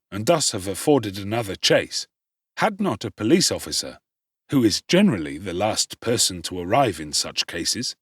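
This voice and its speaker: A man's voice: mid-range voice, slightly rough, dry recording, clean studio sound. A man narrating a classic novel in a dramatic, theatrical and emphatic style with precise delivery. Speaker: audiobook narrator.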